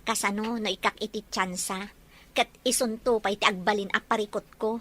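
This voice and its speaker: A woman's voice: mid-range voice, steady tone, fairly quick, pushed and bright. A woman playing a guarded but teasing young working woman in an Ilocano romance drama.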